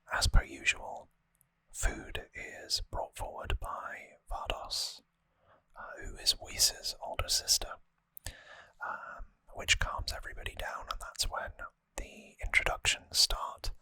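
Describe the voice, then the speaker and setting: An ASMR host whispering breathily and close to the microphone, explaining things softly and informally with some hesitation. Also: dry recording, rough recording. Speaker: host of a trivia ASMR show. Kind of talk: ASMR whispered episode recap.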